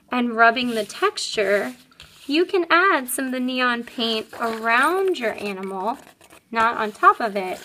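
A woman's voice: on the high side, pushed and bright, slight background noise, rough recording. A woman, an elementary art teacher, demonstrating a craft step by step in a simple, upbeat way for young children.

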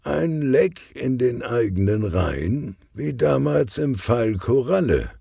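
Man acting older male detective, jovial uncle, grumbling, determined, children's storybook, mellow and slow. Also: mid-range voice, animated, vocal fry, husky, no echo, clean studio sound, pitched above the performer's own voice, exaggerated voice. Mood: annoyed. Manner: grumbling, deliberate.

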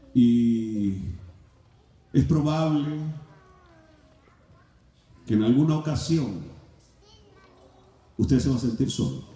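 A middle-aged man preaching a sermon, shifting between shouted passages and hushed, low stretches. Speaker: pastor. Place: large church hall. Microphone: handheld mic, filmed from far off. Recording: echoey hall from far off.

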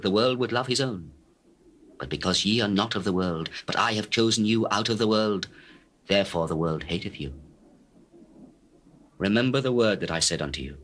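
An actor playing a solemn male teacher addressing his disciples in measured, declamatory scripture drama. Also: fairly low pitch, fairly bright tone. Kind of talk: dramatized King James scripture reading.

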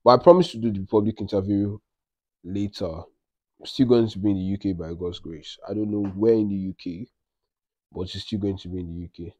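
Young Nigerian man vlogging, low bright voice. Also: a little fast, dry recording, lo-fi sound. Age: young adult. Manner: animated storytelling with Pidgin slang and self-quoting. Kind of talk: casual travel vlog chat to camera. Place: living room, indoors.

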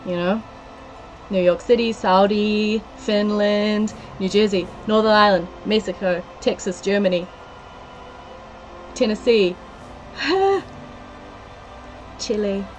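A woman's voice: mid-range voice, slow pace, long pauses, faint music behind, poor audio. A woman in her thirties from New Zealand with a New Zealand accent, chatting casually on a game livestream and reading out viewer comments with frequent giggles. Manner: chatty, giggly, lists place names from chat. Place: small room indoors. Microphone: headset.

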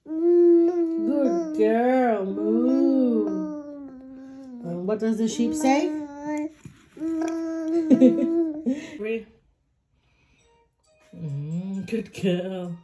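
A woman slowly coaxing a baby with animal sounds and finger games, indoors at home. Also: sits high, animated, over music. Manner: slow, coaxing, sing-song baby talk. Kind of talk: talking to a baby.

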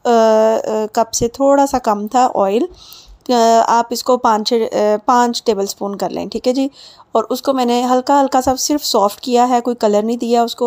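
A woman narrates home cooking in a soft-edged, mellow voice that sits a little high. She talks casually and chattily, guiding the listener through steps like a channel host. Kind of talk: casual recipe voice-over.

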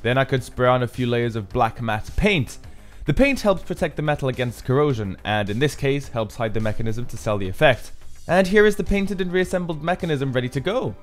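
A man's voice: mid-range voice, lively intonation, a little fast, faint music behind. A man giving an upbeat, explanatory voice-over for a build tutorial, as the maker himself. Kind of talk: scripted tutorial narration.

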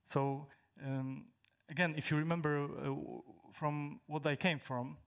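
A young man from Poland, a native Polish speaker, giving a technical talk on stage in a large hall, halting with many fillers and restarts. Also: a little high, level tone, creaky, fairly bright tone. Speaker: conference speaker.

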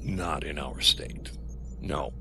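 A man acts a middle-aged official in an audio drama, menacing beneath a fatherly manner. His very low, gravelly voice moves slowly and condescendingly, rising and falling like a preacher's. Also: sharp tone, slight background noise, exaggerated voice. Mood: gently menacing. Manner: slow, sermonizing, condescending. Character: menacing paternal male security official with a preacher's cadence.

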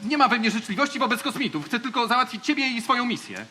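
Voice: mid-range voice, very animated, fast talker, background noise, natural voice. An actor playing a cocky, bossy, easily flustered young boy space warrior in a children's radio play, blustering and boastful. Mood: boastful, menacing.

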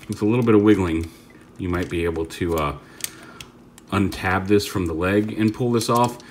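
A man with a deep, low voice talks through a toy review in a relaxed, off-the-cuff way. He rambles and corrects himself mid-sentence while he fiddles with the piece on a tabletop. A faint hiss sits under his voice. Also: normal speed. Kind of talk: casual hands-on product demo.

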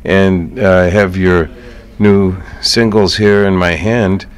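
A man's voice: low-pitched, fairly even intonation, slow, smooth. A man with an American accent hosting a conversational radio interview in an easygoing, encouraging way. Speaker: radio show host.

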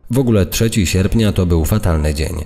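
A man's voice: low-pitched, a little fast, mellow, faint music behind. A man reading out accounts in a scripted, narrated style. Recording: long hall-like reverb.

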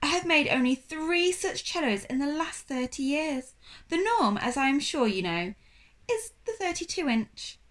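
A woman voicing a polite, paunchy French shopkeeper in a children's storybook, with a French accent and measured, courteous phrasing. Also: high-pitched, very animated, fairly bright tone, pitched above the performer's own voice, heavily acted. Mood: musing, apologetic.

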